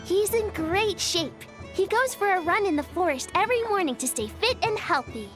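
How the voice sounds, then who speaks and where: Super squeaky little animal kid, earnest and eager, game music under it. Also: female voice, expressive, moderate pace. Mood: friendly, curious. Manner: childlike, earnest. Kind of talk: video game voice acting. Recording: music behind the voice.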